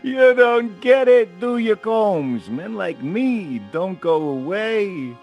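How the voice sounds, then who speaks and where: A man's voice: sits high, very animated, slow pace, lo-fi sound, soft background music, full character voice. An actor playing a menacing, gloating gangster villain in a hard-boiled radio play, taunting and laughing as he drags out his words. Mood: gloating menace.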